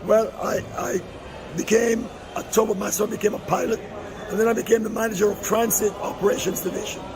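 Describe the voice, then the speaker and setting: A hoarse older man from Panama, a retired canal manager, speaking earnestly and proudly in a street interview. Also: high voice, flat delivery, fairly quick. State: hoarse, lost voice. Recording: outdoor fair with crowd noise. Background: crowd at an outdoor fair.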